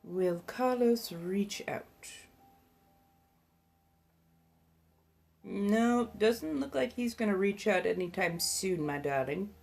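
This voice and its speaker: A woman's voice: mid-range voice, animated, slow pace, creaky, darkish tone. A woman voicing an ancient, gracious female vampire with an affected old colonial English accent, chatting effusively and calling people darling. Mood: courteous, welcoming. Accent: affected English, 1700s colonial. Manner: effusive, genteel, calls people darling and dear. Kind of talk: livestream chat in persona.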